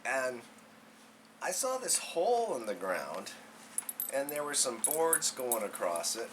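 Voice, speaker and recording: A man in his sixties telling childhood memories in a casual, folksy, rambling and animated way, as a storyteller dad. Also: mid-range voice, takes it slowly, noisy background. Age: 60s.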